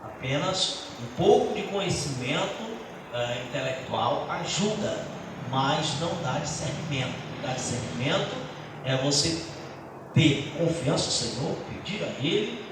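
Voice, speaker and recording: Middle-aged male pastor, earnest testimony with halting restarts, fairly high voice, distant mic in an echoey church hall with noise behind. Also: lively intonation. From Brazil. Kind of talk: church teaching talk.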